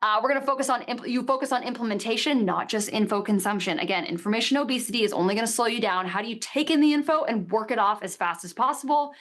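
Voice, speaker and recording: Woman webinar co-host, upbeat, direct, emphatic, fairly high voice. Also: fast, few pauses, fairly bright tone. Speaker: workshop co-host. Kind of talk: live webinar sales pitch.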